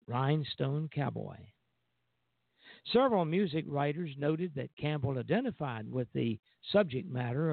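A man presents a music radio show over a thin, phone-like connection with very little room sound. His voice is full of creak as he reads scripted introductions in a deliberate way, now and then tripping on a word. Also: fairly high pitch, dry recording. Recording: phone-like, thin narrowband audio.

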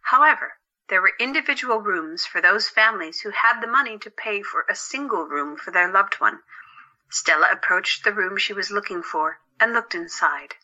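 A woman's voice: medium pitch, lively intonation, moderate pace, pushed and bright, highs cut off. A woman narrating a scripted story for an audio drama.

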